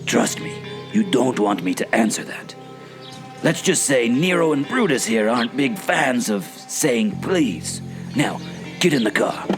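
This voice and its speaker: A man's voice: medium pitch, big pitch swings, unhurried, over music. A man playing a smug, impatient villain boss in a comic adventure radio play, speaking formally and with wry menace. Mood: menacing.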